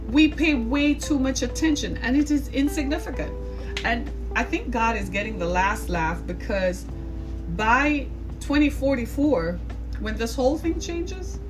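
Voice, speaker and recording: A woman's voice: a little high, rough recording. A woman hosting a podcast, giving an impassioned opinion monologue in an emphatic, preacher-like way with rhetorical questions. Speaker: podcast host. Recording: music playing behind the voice. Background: music.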